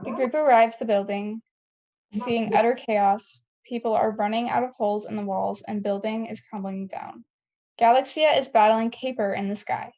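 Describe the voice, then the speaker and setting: A woman's voice: fairly high pitch, steady tone, moderate pace, dark tone. A woman reading scene headings and stage directions as narrator of a table read, on a dull lo-fi recording.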